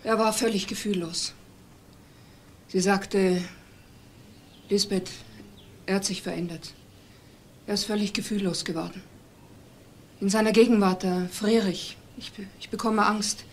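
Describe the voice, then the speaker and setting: A woman's voice: medium pitch, fairly even intonation, unhurried, pauses often, a little hiss or hum, close to an everyday voice. An actress playing a bitter, distraught middle-aged woman in a crime drama, emphatic and insistent. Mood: bitter, anguished. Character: bitter, accusing woman named Lisbeth, vehement and distraught.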